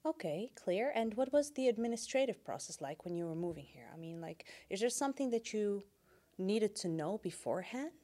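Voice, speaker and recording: Female interviewer, mid-range mellow voice, encouraging, casual conversational questions. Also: mid-range voice, sing-song, brisk. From Netherlands. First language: Dutch. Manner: affirming, encouraging.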